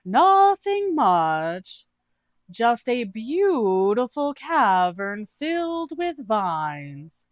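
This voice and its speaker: Very slow, high dopey adventurer. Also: female voice, very animated, no echo, exaggerated voice. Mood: innocent, evasive. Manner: drawn-out, deliberate delivery.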